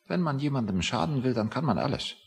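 A man's voice: mid-range voice, animated, quick pace, creaky. An actor in a satirical radio play playing a pompous, fretful male civil servant with a querulous, suspicious manner. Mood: brooding, suspicious.

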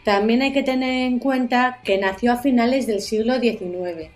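A woman's voice: on the high side, moderate pace, darkish tone, soft background music. A woman presenting her segment on a radio show in a conversational storytelling style.

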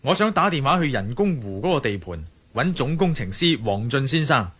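Adventurer hero for radio drama: Hong Kong Cantonese, friendly yet anxious, husky, animated delivery. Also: male voice, mid-range voice, moderate pace. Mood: puzzled. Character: Wisely, determined adventurer man, friendly but anxious.

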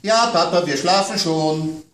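A stubborn little girl , played by a man whines her way through bedtime in a high, pleading voice. Her lines come drawn out and insistent. The sound is distant and roomy, with faint noise behind it. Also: unhurried, a bit bright. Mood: giggly.

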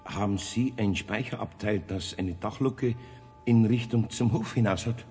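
A man's voice: lowish voice, measured pace, warm tone, faint music behind, lightly acted. A man playing an older craftsman with a Bavarian accent, earnest and flustered, in a children's radio play. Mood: politely worried. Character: agitated, kind-hearted older craftsman. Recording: 1970s radio recording with faint noise.

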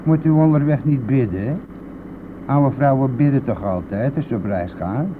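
Actor as a brash, callous bus driver, tinny recording. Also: male voice, medium pitch, moderate pace, natural voice. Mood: scoffing, irritated. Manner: blustering, scoffing, colloquial. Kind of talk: Dutch radio play acting. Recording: tinny band-limited old radio recording, noisy.

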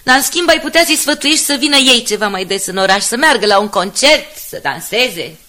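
A woman's voice: a little high, animated, bright, forceful tone. An actress playing a discontented, town-loving woman in a radio drama, insistent and scornful of village life. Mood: disdainful. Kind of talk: Romanian radio drama acting.

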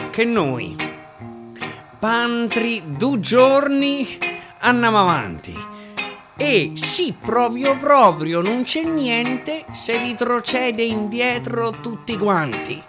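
A persuasive Roman-accented sea captain, played by a male storyteller, reasons with his crew in radio theatre. The voice is high and oratorical, with long halting drawls over guitar music. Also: full character voice. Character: slow, persuasive old-time ship's captain reasoning with crew. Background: acoustic guitar music.

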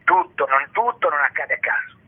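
Middle-aged or older man, combative ironic interviewee, raspy voice over a narrow telephone connection. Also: on the high side, animated, moderate pace. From Italy. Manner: polemical, ironic, emphatic. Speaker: theatre artist being interviewed. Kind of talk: radio interview. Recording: narrow tinny phone line.

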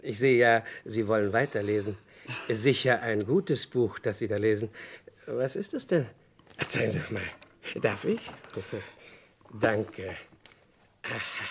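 A man's voice: on the low side, lively intonation, a little slow, pauses often, a little hiss or hum. An actor playing a long-winded, over-polite, cheerfully oblivious nosy male neighbour in a comic radio play. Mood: nosy, enthusiastic. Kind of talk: comic German crime radio play, 1950s-80s.

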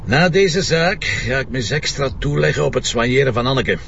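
Wry, gravelly Flemish police commissioner. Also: male voice, mid-range voice, expressive, fairly quick, fairly bright tone, far from the mic, lo-fi sound, slight background noise. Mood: upbeat, wry. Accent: Flemish Belgian. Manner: wry, needling, colloquial. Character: shrewd, teasing, dogged male police commissioner. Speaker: actor. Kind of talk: naturalistic radio drama dialogue.

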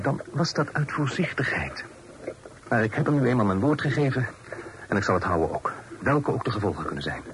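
A man playing a well-bred, earnest young gentleman in a period radio drama, formal and prone to stammering when flustered. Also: on the low side. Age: young adult. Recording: noisy old radio drama recording.

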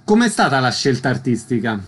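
A man's voice: medium pitch, sing-song, brisk, slight background noise. A man hosting a radio interview, with concise, formal, scripted questions and introductions.

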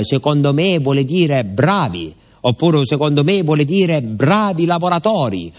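A man playing a rambling, animated villager with a southern Italian accent, confiding his story colloquially in a theatrical radio monologue. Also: on the high side. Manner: colloquial, confiding, dialect-laced storytelling to a listener.

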